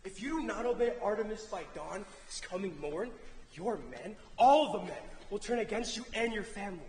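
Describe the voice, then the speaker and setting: A young man acting a forceful, accusing warrior, brother of a king, in declamatory Greek tragedy style on stage. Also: high voice, lively intonation, normal speed, crowd noise. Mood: stern, urging. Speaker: student actor in a stage play. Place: theatre stage, indoors. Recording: echoey noisy theatre hall recording.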